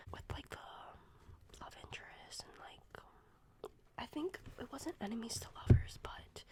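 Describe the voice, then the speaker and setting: A young woman with a cold, whispering a casual, rambling ASMR book review full of fillers. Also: high voice. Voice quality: soft unvoiced whisper. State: sick. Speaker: ASMR creator.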